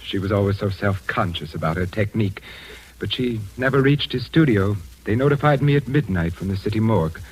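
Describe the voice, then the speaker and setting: A man's voice: fairly low pitch, expressive, brisk, husky, low-quality recording. An actor playing an insistent, aggrieved widowed father in a theatrical, formal old-time radio drama style. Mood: grieving.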